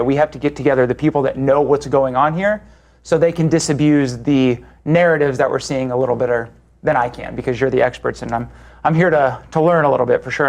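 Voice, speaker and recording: A man hosting a roundtable discussion, speaking in a hesitant, rambling way. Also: medium pitch. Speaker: panel host.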